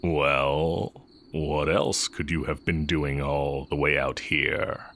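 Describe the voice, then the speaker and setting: A man acting as a sly, coolly teasing heretic magic teacher in an intimate audio roleplay, musing and taunting with drawn-out pauses. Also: very deep voice, little pitch movement, fairly bright tone, slight background noise, heavily acted. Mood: wry, musing.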